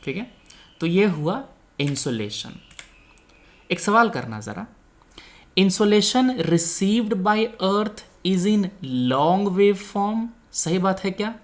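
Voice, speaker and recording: Slow, animated male teacher. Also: sits high. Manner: didactic, frequently checks understanding; mixes Hindi with English technical terms. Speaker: exam coaching teacher. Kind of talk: explanatory lecture with quiz questions.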